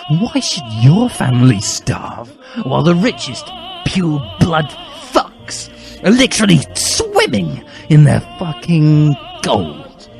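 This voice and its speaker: A fervent, smug schoolboy revolutionary, acted out by a man in a dramatic story reading. He rants and preaches with heavy emphasis, his voice pushed high and swinging widely over background music. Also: wildly swooping pitch, takes it slowly, vocal fry, darkish tone, full character voice. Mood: fervent ranting. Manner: ranting, preachy, foul-mouthed emphasis.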